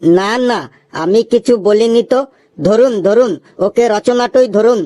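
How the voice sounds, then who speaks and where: A man's voice: very high voice, a little slow, mellow. A man voicing a sardonic rustic classmate in a cartoon, muttering. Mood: wry.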